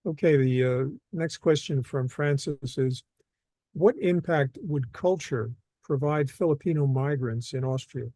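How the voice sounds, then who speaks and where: A man hosting an interview, reading out questions in a measured, formal way. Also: mid-range voice, dark tone, dry recording.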